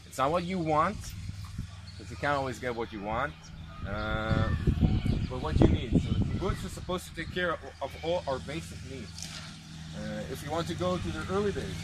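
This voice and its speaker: A man working as a tour guide, explaining informally step by step with rhetorical questions, in English shaped by American and South African parents. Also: a little high. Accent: English with Anglo influence from American and South African parents. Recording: clear outdoor ambient noise.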